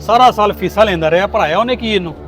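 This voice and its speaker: Genial Pakistani neighbour played by a man, Punjabi accent, familiar chatty delivery, fairly high pitch, moral drama. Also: rapid-fire, a bit bright. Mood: placating. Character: genial neighbour, proud father of successful son. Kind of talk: acted moral-story drama.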